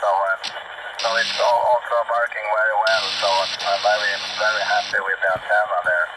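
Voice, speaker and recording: A man's voice: on the low side, flat delivery, a little fast. A man with a Swedish accent speaking English as a second language, chatting hesitantly as a radio operator over two-way radio and repeating his phrases. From Sweden. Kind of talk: casual radio contact. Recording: noisy shortwave CB radio transmission.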